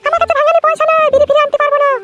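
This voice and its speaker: A woman voicing a grumbling young village daughter-in-law in a comedy cartoon, with an artificially shrill, squeaky voice. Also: flat delivery, very fast, lo-fi sound. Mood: irritated scolding. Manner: complaining, scolding. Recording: music playing behind the voice.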